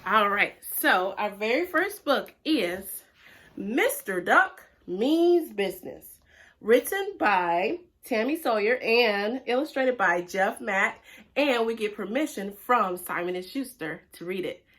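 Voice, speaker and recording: A woman's voice: medium pitch, animated, takes it slowly, a bit bright. A woman hosting a preschool storytime, speaking expressively and directly to young listeners as she reads aloud. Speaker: children's librarian and storytime host.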